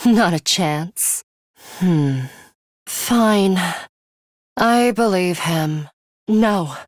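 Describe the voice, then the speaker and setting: Cold bloodthirsty fighter girl for a game, talks slow n formal, kinda menacing, super dry recording. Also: on the low side, animated, no room sound. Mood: cool, curt. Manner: deliberate, formal and menacing, with theatrical flourishes. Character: cold, bloodthirsty young female fighter, terse and imperious. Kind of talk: English video game voice acting.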